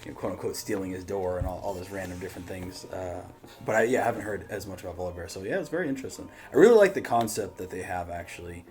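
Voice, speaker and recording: A man's voice: low-pitched, expressive, fast, darkish tone. A man hosting a reaction show, chatting casually. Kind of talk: casual chat. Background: music.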